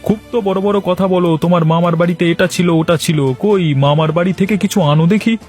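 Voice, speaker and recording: A poor, idle village husband from a cartoon acted by a man, with a high-pitched voice. He grumbles, brags and protests in a whining manner. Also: fairly even intonation, fast talker, a little mellow, soft background music, close to an everyday voice. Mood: indignant. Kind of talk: Bengali cartoon dialogue dubbing.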